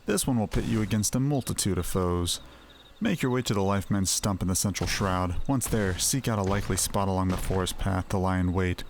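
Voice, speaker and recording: An imperious video game figure who hands out trials in grand, old-fashioned phrasing. The voice sits very low, and faint insect chirping carries in the background. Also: male voice, a little fast, a little hiss or hum. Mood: commanding. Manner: formal, commanding, archaic phrasing. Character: imperious male quest-giver, testing and manipulative.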